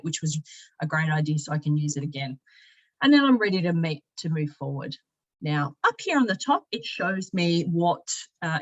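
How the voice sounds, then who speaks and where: Female software presenter, animated delivery, chatty and upbeat, slightly dull lo-fi audio. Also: on the low side. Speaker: presenter giving a software demonstration. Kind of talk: casual tutorial walkthrough.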